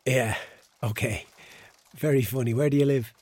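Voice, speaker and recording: A man's voice: medium pitch, fairly even intonation, normal speed, darkish tone, slightly rough, no room sound, close to an everyday voice. An actor plays a hungover Irish man in an audio drama, a flippant charmer out of his depth. He speaks colloquially and awkwardly, with a bewildered air. The recording is dry and free of room sound. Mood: uneasy, flustered. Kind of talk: radio drama acting.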